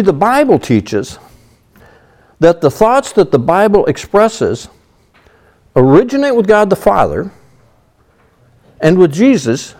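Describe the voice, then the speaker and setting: Lively high-voiced male preacher. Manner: conversational Bible teaching, frequent "you know". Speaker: pastor. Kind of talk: sermon.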